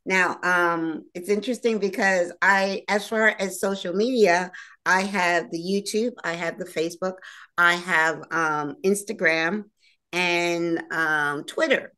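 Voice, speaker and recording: A woman's voice: fairly low pitch, fairly even intonation, unhurried, bright, forceful tone. Chatty woman hosting a talk show. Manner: chatty, with fillers and restarts. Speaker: host and producer. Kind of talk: casual interview chat.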